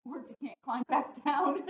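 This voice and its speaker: A woman's voice: high voice, expressive, normal speed. A middle-aged woman chatting casually and jokily as she co-hosts a storytime. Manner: jokey, giggly. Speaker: police officer co-hosting a storytime. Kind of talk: casual chat. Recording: echoey room, off-mic, dull, faint noise.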